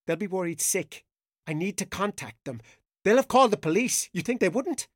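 A man's voice: high-pitched, expressive, normal speed, no room sound, studio quality. A man playing a frightened captive in a radio drama, with an agitated, defensive, pleading delivery. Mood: pleading, anxious.

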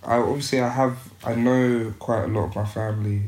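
A man's voice: mid-range voice, steady tone, fairly quick, a little mellow, reverberant. A young man from the United Kingdom, a youth worker, talking reflectively as a podcast guest with many restarts and fillers. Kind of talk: casual interview conversation.

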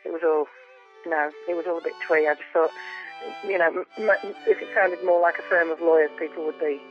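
A woman with a low voice, a folk musician chatting as a guest in a phone interview, often repeating words while she thinks. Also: fairly even intonation, fairly quick, faint music behind. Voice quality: low female voice. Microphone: telephone. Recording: tinny phone line.

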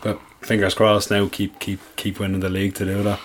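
Irish male co-host, low-pitched, easygoing and halting, with light noise behind him. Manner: relaxed, hesitant, restarts sentences. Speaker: guest co-host. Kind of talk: casual chat.

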